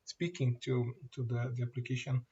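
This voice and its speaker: Male presenter, native Portuguese speaker, demoing software, mid pitch, halting delivery, muffled recording. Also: little pitch movement, slightly rough. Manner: halting, many fillers and restarts. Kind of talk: screen-share product demo.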